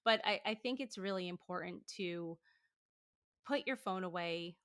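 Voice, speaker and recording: A woman's voice: on the low side, fairly bright tone. A woman in her thirties, a podcast guest talking casually, full of likes and fillers. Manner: chatty, lots of likes and fillers, quick laughs. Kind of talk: casual interview chat.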